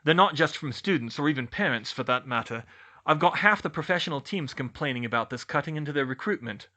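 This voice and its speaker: A male narrator plays a courteous middle-aged government boss in a storybook. He is genial and wry yet harried, speaking with a bright, forceful tone and lively swings of pitch. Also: fast. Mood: wry, exasperated. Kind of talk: audiobook character voice.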